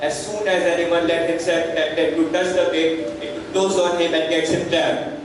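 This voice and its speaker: A man's voice: a little high, level tone, normal speed, natural voice. Man acting a poor hungry peddler, echoey distant stage. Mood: bitter, musing. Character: poor, hungry, bitter male rat-trap peddler. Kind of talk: amateur stage-play acting. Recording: echoey hall, far mic, noisy.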